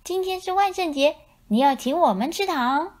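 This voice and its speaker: Woman playing bossy little storybook lamb, high-pitched, childlike, bold, insistent. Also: expressive, moderate pace. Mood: brave, demanding. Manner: insistent, childlike scolding and demanding.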